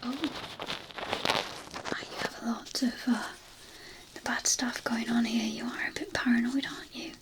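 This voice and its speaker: A woman performing an ASMR roleplay as a gleefully macabre, brain-hungry zombie nurse, in a breathy, halting whisper. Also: fairly high pitch, little pitch movement, natural voice, low-quality recording. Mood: probing, mock concern.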